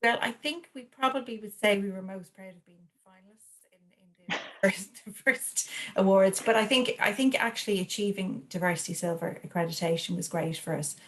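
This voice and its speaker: A woman's voice: mid-range voice, normal speed, a bit bright. A woman speaking as an award finalist in an online panel interview, hesitant, with many restarts and fillers. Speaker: award finalist, company representative.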